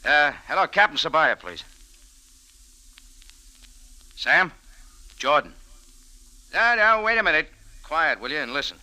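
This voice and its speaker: An actor playing a tough, wisecracking American café owner and adventurer, clipped and sardonic, in old-time radio style. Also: male voice, on the high side, very animated, moderate pace, bright, forceful tone, exaggerated voice, poor audio. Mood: hard, confident. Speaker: lead actor and narrator. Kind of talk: old-time radio detective acting and narration.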